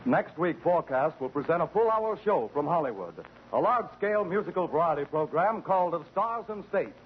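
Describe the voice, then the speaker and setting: A male network announcer reads a formal sign-off in a smooth, high voice. It is a 1940s radio broadcast, tinny and phone-like with faint hiss. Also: expressive, brisk.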